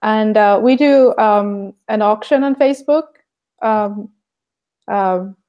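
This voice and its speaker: A woman's voice: mid-range voice, slow, highs cut off. A woman from Finland with a Finnish accent, chatting casually and hesitantly on a livestream. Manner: hesitant, many fillers. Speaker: artist, co-host.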